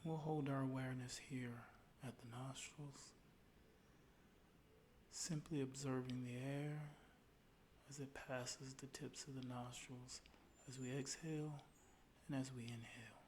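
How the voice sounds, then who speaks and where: A man leading a guided meditation in a calm, soothing, unhurried way, with soft, breathy phrase endings. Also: mid-range voice, slight background noise.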